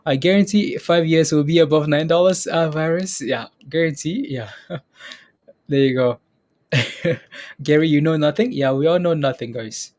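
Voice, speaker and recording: A man's voice: fairly high pitch, normal speed, darkish tone. A man hosting a live stock trading stream, chatting casually about price levels and reading viewer names aloud. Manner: chatty, repeats phrases, reads chat names aloud, laughs often. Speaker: stock trading livestream host. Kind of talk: casual live market commentary.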